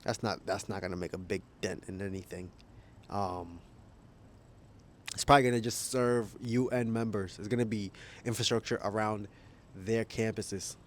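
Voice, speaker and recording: Rambling man thinking out loud. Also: on the low side, fairly even intonation, normal speed, a little hiss or hum. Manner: rambling, thinks aloud and self-corrects; chuckles often while talking. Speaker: YouTuber. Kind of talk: casual opinion chat.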